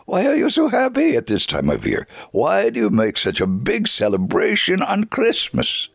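A man reading out the quick, pressing questions of curious questioners in a dramatic reading. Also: high-pitched, wildly swooping pitch, vocal fry, husky, no echo, clean studio sound, voice pushed up, heavily acted. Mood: questioning. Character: curious adult questioners, eager and doubtful.

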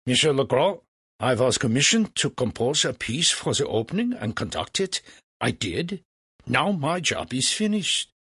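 A man voicing a young musician in a storybook, formal and measured, quietly defiant and wry. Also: medium pitch, very animated, slightly rough, no room sound, clean studio sound, voice pushed up, full character voice. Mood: mock reluctant. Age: young adult. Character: dry young male musician, mock-reluctant, quietly defiant.

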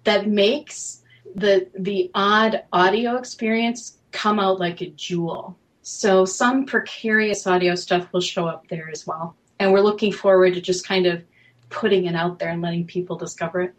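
A woman's voice: mid-range voice, fairly even intonation, normal speed, low-quality recording. A woman speaking as a guest writer-producer in a casual panel discussion.

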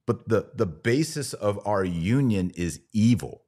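A man's voice: lowish voice, expressive, unhurried, mellow. A man hosting a podcast, talking conversationally and arguing a point emphatically through hypothetical examples. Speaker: podcast host.

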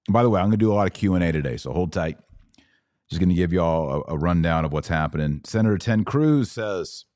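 An American man hosting a podcast, giving casual, conversational political commentary live, with emphatic, drawn-out phrasing and rhetorical questions. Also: sits low, a little mellow, dry recording, clean studio sound. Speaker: podcast host.

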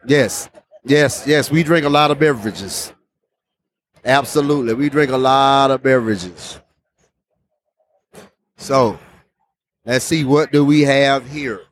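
A man's voice: fairly high pitch, a bit bright, dry recording. A man hosting a casual beer tasting vlog, enthusiastic and repeating his phrases. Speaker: host.

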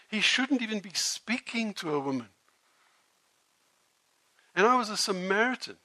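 A man voicing a proud, sharp-tongued Samaritan woman in a dramatic first-person monologue, told in an animated, theatrical way. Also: high voice, heavily acted, low-quality recording. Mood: indignant.

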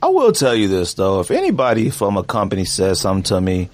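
A man hosting a sneaker podcast in casual chat, slangy and animated, tossing in "huh?" as he goes. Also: fairly low pitch, fast, a little hiss or hum. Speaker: podcast host.

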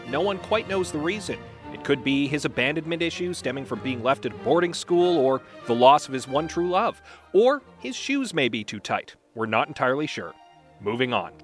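A man hosting a podcast, narrating in a wry, deliberate storytelling way. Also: a little high, a bit bright. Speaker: podcast host.

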